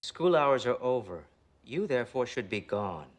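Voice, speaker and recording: A man acts a stern male school principal in a TV drama. The voice is mid-pitched and officious, with clipped, pompous phrasing and a deadpan air of disapproval. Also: moderate pace, lightly acted. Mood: stern, disapproving. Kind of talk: TV series acting.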